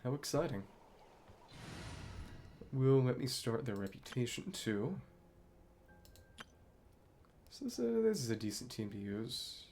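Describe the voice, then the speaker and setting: A man's voice: mid-range voice, big pitch swings, a little slow, gravelly, pauses often, darkish tone, slight background noise. A man giving casual video game commentary, thinking aloud and murmuring to himself as he plays. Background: video game music.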